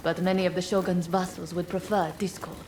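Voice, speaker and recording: A woman's voice: lowish voice, little pitch movement, a little fast, darkish tone, natural voice. Echoey, stern woman guard actress. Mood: grave. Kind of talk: video game cutscene acting. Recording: echoey with clear ambient noise.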